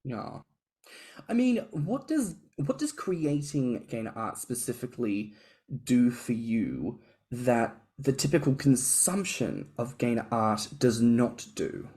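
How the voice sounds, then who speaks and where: A man's voice: medium pitch, big pitch swings, warm tone. A man hosting a podcast, chatting casually and joking with his guests, often restarting his sentences. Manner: chatty, joking, quick to tease, frequent restarts. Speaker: podcast host.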